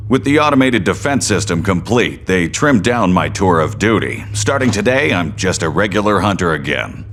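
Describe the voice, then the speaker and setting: An actor playing a friendly, hearty male robot hunter in an animated dub. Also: deep voice, moderate pace, a little hiss or hum, full character voice. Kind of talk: English-dubbed animation acting.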